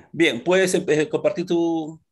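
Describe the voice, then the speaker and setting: A man's voice: a little high, takes it slowly, husky. A middle-aged man moderating a live webinar over a video call, introducing speakers with hesitant fillers. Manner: hesitant, listing names with fillers. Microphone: laptop webcam on a video call.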